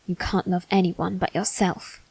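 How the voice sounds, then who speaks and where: A woman playing a brooding, haughty aristocratic boy bent on revenge, speaking formally and deliberately in a dramatic ASMR roleplay. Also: on the low side, little pitch movement, creaky, darkish tone, breathy edge, no room sound, heavily acted, rough recording. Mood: cold, dismissive. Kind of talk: dramatic ASMR roleplay monologue addressed to listener.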